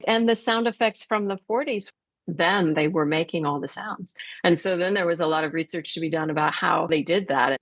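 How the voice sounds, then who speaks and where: A woman with a fairly low voice speaks as a guest in a conversational radio interview. She explains things through vivid, detailed examples. The sound is close and dry, with no room around it. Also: normal speed, no room sound. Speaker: interview guest, theatre artistic director.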